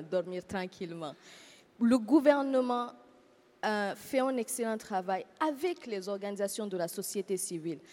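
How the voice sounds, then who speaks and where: A woman's voice: medium pitch, darkish tone. Earnest woman speaking in a hall. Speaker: panelist, activist. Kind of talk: speaking at a panel. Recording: reverberant hall.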